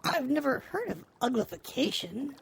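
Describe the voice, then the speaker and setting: A man's voice: extremely high pitch, very animated, moderate pace, creaky, slight background noise, voice pushed up, heavily acted. A man voicing a polite, curious young girl who asks earnest questions.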